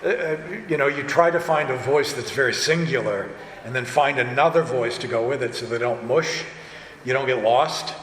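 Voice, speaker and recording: A man speaking casually as an audio drama director on a panel, telling stories in an animated way and acting out quoted remarks. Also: medium pitch, moderate pace. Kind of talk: casual panel talk with anecdotes. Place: large indoor convention panel room. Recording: echoey convention hall with background noise.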